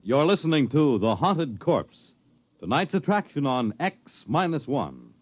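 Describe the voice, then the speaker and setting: A man's voice: medium pitch, very animated, measured pace, dull audio, faint music behind. A man reading scripted announcements as a 1950s radio announcer.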